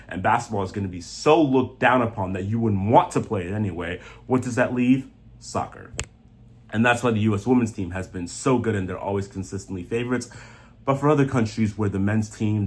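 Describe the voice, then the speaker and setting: Male sports commentator, slightly deep and raspy, unscripted, opinionated, emphatic with repeated phrases. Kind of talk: opinionated off-the-cuff soccer commentary.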